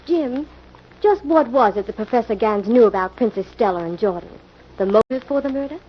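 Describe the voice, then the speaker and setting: An actress playing a curious, attentive detective's assistant in 1940s radio style. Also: female voice, fairly high pitch, animated, brisk, close to an everyday voice. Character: inquisitive female detective's assistant. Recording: muffled, hissy old-time radio recording.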